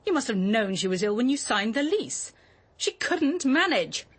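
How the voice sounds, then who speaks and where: A woman's voice: a little high, big pitch swings, normal speed, husky. An actress playing a hard, unyielding British landlady in a radio drama, speaking in a clipped, formal, disapproving way. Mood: cold, scornful.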